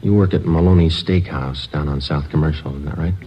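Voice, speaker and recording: An actor playing a terse police sergeant in an old radio drama, speaking in a clipped, matter-of-fact way. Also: male voice, a bass voice, brisk, slight background noise. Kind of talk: 1950s radio drama acting. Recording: dull old radio broadcast recording.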